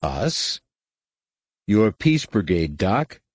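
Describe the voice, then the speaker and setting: A man plays a young space pilot in an audiobook adventure. He is steady and defiant, speaking firmly and curtly in a lowish voice. The recording is dry and close. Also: very slow, breathy edge, no room sound, exaggerated voice. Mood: incredulous, angry.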